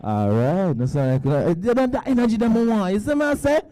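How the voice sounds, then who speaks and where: A man's voice: high voice, expressive, fairly quick, mellow, dry recording. Playful man hosting on stage. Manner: playful, teasing, with local slang. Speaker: event host. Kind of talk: lively crowd hosting on stage. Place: stage at a student orientation event.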